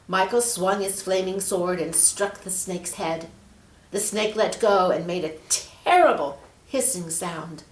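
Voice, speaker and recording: A woman reading a children's story aloud expressively, measured and leaning on key words. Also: lowish voice, fairly bright tone. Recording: echoey room.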